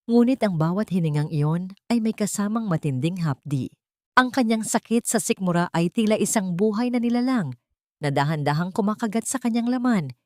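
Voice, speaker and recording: A woman narrating a drama story in a slow, somber, suspenseful scripted manner. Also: mid-range voice, darkish tone, dry recording, studio quality.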